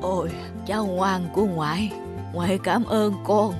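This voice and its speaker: A woman voicing a kind, frail old grandmother in a cartoon, speaking tenderly and gently. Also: mid-range voice, fairly even intonation, a little slow, music in the background, close to an everyday voice. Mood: touched. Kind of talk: cartoon voice acting.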